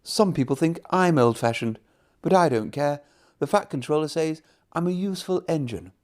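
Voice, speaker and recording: A man's voice: on the high side, lively intonation, normal speed, darkish tone, pitched above the performer's own voice, close to an everyday voice. A man voicing a kind, determined old steam engine in a children's storybook, earnest and emphatic. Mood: proud, friendly. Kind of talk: children's storybook character reading.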